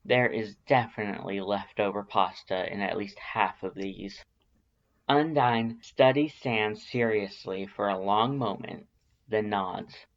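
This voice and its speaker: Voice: deep voice, unhurried, dull audio. A narrator reading a scripted story expressively, in the manner of an audiobook. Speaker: fan fiction narrator.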